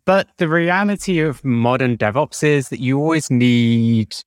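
A man's voice: medium pitch, measured pace, clear, resonant tone, dry recording, clean studio sound. A man with a British accent, explaining technical work informally as a podcast guest. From United Kingdom. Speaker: podcast guest, DevOps engineer.

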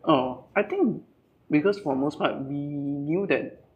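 A man, a tech company CTO speaking as a podcast guest in an animated way, often restarting and repeating his phrases. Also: on the high side, slow, highs cut off. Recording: distant mic, non-studio audio.

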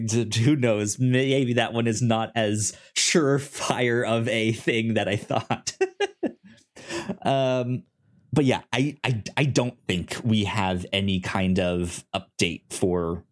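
A man co-hosting a podcast in a casual movie review chat, speaking in a halting, self-interrupting way. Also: lowish voice, fairly even intonation. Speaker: podcast co-host.

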